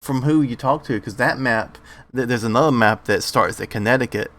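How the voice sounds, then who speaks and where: Podcast dude, mid-pitch voice, stammers and restarts a lot, swears casually. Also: male voice, a little fast, fairly bright tone. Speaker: podcast co-host. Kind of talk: casual chat.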